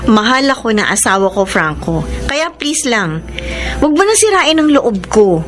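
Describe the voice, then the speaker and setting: An actress playing a faithful wife who is wronged and distraught, in a radio drama. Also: female voice, fairly high pitch, sing-song, a little slow. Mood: pleading. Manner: pleading, emotional. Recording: music under the voice.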